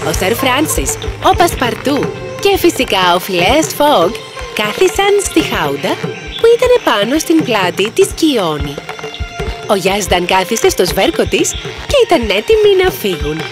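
A woman's voice: fairly high pitch, sing-song, music in the background. A woman reading scripted storybook narration as the narrator.

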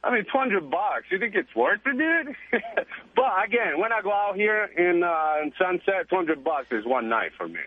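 A man's voice: high-pitched, very animated, moderate pace, husky, dry recording. Italian-accented man on a phone line. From Italy. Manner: chatty, eager, laughs easily. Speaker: caller. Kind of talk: casual call-in chat. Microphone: telephone.

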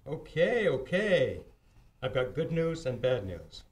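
A man's voice: medium pitch, big pitch swings, takes it slowly, breathy edge, exaggerated voice. A man playing a cocksure wisecracking homicide cop. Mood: teasing. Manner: wisecracking, smug, clipped. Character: teasing, cocksure male homicide police detective, 1950 radio mystery. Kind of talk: old-time radio acting. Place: theatre stage, live performance. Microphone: stand mic on stage.

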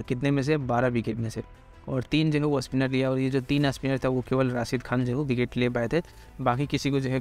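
Guy rattling off cricket pitch analysis super fast, lots of filler words, music low in the back. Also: darkish tone. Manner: rapid, heavy filler phrases, addresses viewers directly. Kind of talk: fast casual explainer over slides.

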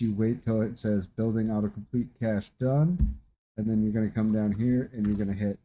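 A man in his thirties giving a casual, rambling, halting tutorial from his desk at home. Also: lowish voice, warm tone, highs cut off. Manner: rambling, halting, informal explanations. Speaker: tutorial host. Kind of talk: casual screen-share tutorial. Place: small room indoors at a desk.

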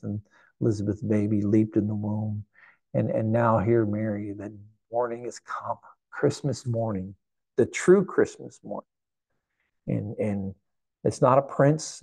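A man's voice: lowish voice, vocal fry, a little mellow, slightly rough, no room sound. A man in his sixties preaching a sermon to camera in a church, earnest and reflective, often repeating phrases. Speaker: pastor. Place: indoor church sanctuary.

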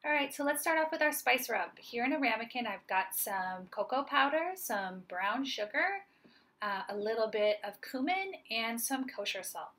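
A woman's voice: fairly high pitch, lively intonation, moderate pace, a bit bright. Upbeat woman chef explaining cooking steps. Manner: upbeat, explains each step plainly. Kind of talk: friendly cooking demonstration. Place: home kitchen, indoors.

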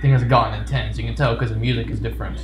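A man talks casually through a video game at a middling pitch, full of joking, mock-serious asides. His voice has noticeable room echo, and game music plays faintly behind him. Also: steady tone, very fast. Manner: jokey, playfully sarcastic asides. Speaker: video game player and commentator. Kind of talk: casual gameplay commentary.

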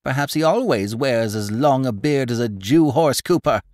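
A man's voice: mid-range voice, wildly swooping pitch, a little slow, no echo, studio quality, voice pushed up, heavily acted. A man voicing a stout, gossipy older woman shopkeeper in an audiobook, chattering in a breathless, exclamatory way. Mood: mocking. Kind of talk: audiobook character reading.

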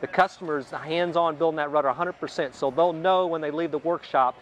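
A man's voice: fairly high pitch, fairly even intonation, brisk, slight background noise. A man giving casual, practical explanations in an interview as a company representative and workshop instructor. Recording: music under the voice.

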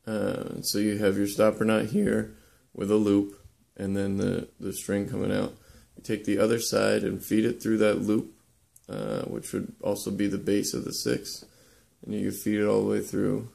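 Man, young adult, low-pitched, very gravelly, relaxed halting how-to talk. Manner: relaxed, halting, many ums and uhs. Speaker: woodworking instructor. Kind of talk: casual how-to demonstration. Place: indoor workshop.

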